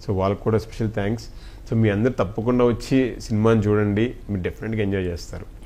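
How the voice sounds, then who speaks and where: A man with a Telugu accent and a fairly low voice speaks as an actor being interviewed. He is earnest and effusive in his thanks, mixing in English phrases as he chats. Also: steady tone, fast talker, mellow, slight background noise.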